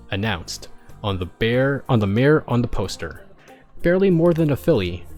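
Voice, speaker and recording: Male amateur story reader, medium pitch, expressive but halting delivery with self-corrections, background music underneath. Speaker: streamer reading fan fiction aloud. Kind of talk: expressive first-person story narration.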